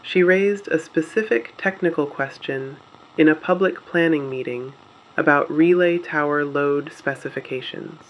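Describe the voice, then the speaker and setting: A grave resistance broadcaster played by a voice actor reads out the missing in a dystopian audio drama. The delivery is very slow and deliberate, with a medium-pitched voice and solemn restraint. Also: highs cut off, natural voice.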